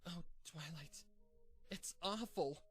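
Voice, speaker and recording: A man's voice: slow, breathy edge. A man reading a fanfic aloud, voicing a frantic, sleepless young female pony in a pleading, rising voice. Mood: distraught. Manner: high, pleading, breaking into despair.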